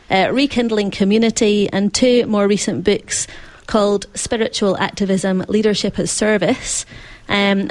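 A woman with a Scottish accent hosting a radio interview from a studio. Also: mid-range voice. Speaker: radio show host.

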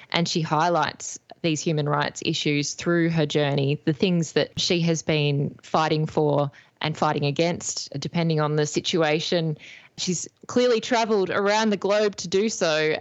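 An Australian woman hosting a radio interview, reading a measured, earnest introduction before asking her guest questions. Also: on the low side, dry recording, muffled recording. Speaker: radio host.

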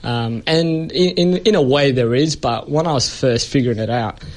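A man's voice: mid-range voice, normal speed. Chatty man telling stories. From Ethiopia. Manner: chatty storyteller, many fillers, laughs often. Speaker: comedian guest. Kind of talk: casual anecdotal interview chat.

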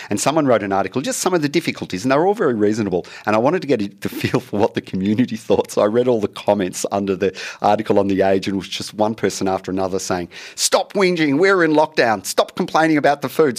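Chatty man hosting radio. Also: fairly low pitch. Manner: chatty, joking, loose with names. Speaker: radio show host.

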